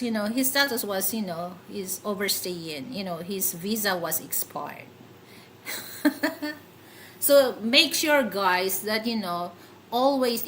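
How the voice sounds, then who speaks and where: A woman with a Filipino accent hosts a casual livestream at an unhurried pace. She chats with many fillers and giggles often. Faint background noise runs under her voice. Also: a little high, fairly bright tone, rough recording. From Philippines. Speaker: livestream host.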